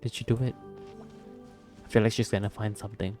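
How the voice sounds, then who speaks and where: A man's voice: fairly low pitch, little pitch movement, brisk, a little mellow. A man giving casual gameplay commentary, reacting and repeating words to himself in a muttering way. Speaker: gaming video creator. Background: game music.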